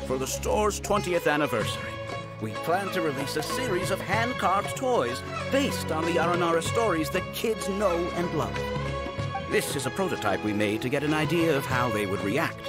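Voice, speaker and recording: A man's voice: a little high, animated, normal speed, loud music behind the voice, close to an everyday voice. An actor playing a wry, weary male toy craftsman who explains things patiently. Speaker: toy store worker.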